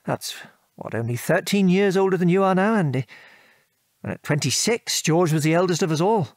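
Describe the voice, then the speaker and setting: A man's voice: high-pitched, animated, normal speed, no room sound, clean studio sound. An actor playing an affectionate British father and former wartime air force officer, patiently reminiscing in an audio drama. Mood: fond, amused. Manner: chuckling, patient, old-fashioned British turns of phrase.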